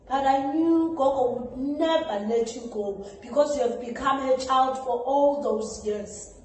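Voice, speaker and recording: Weary older South African mother, played by a female trainee: stage monologue, slow and emotive, high voice. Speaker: trainee actor. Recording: very echoey room, distant mic, background noise.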